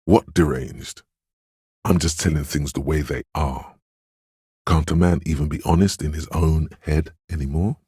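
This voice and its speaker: An extremely deep, heavily husky male inner voice speaks straight into a troubled detective's head. The delivery is measured, intimate and knowing, like scripted game narration, recorded dry and close. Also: steady tone, warm tone, dry recording, full character voice. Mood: sly, urging.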